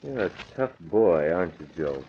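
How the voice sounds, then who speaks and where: Low hard-boiled vigilante guy, cool and threatening, muffled noisy old radio sound. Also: lively intonation, normal speed. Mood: sly, pressing. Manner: hard-boiled, commanding, slangy. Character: tough, menacing masked vigilante man, cool and threatening. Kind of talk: old radio crime drama acting.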